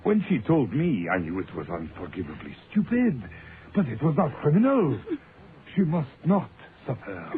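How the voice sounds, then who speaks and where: A man's voice: mid-range voice, sing-song, normal speed, natural voice. An actor playing a proud, defiant foreign man in a radio mystery, speaking in formal, emphatic, stilted phrases. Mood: pleading. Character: proud, defiant, intense foreign man protecting his sister. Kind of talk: radio mystery drama acting. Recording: narrow, tinny, noisy old-time radio recording.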